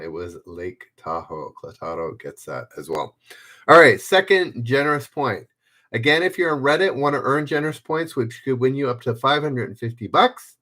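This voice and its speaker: A man's voice: lowish voice, fairly bright tone. A man hosting a trivia livestream, reading clues in the first person and chatting casually with viewers by name.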